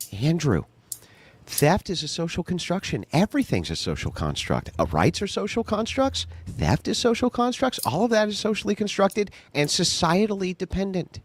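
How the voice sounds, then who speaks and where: A man's voice: sits high, dry recording. A man arguing in a heated debate, combative and sarcastic, mocking his opponent. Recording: clip from a recorded debate.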